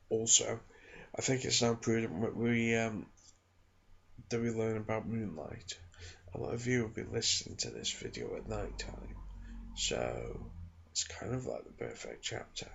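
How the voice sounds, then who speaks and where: A man reading aloud from a book in ASMR style, soft-spoken, hushed and measured, recorded in a small room at home. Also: lowish voice, creaky, breathy edge, lo-fi sound. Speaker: ASMR reader and channel host. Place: small home studio in a back bedroom.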